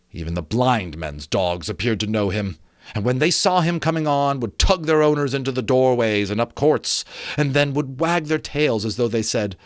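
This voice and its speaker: A man narrates a storybook in a dramatic, theatrical style. His pitch swings widely as he leans on key words and pauses for effect. The sound is dry, with very little room to it. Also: dry recording. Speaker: audiobook reader.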